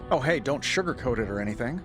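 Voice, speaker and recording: Wry male hero acted by a man, animated mid-pitched voice, flippant, confident, game cutscene, faint music. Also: fast talker, natural voice. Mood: sarcastic, confident. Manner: wry, glib. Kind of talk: video game acting.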